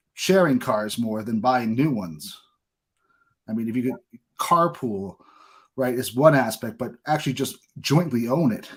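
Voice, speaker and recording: A man hosting a podcast interview, chatting casually in a talkative, opinionated way. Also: medium pitch. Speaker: podcast host.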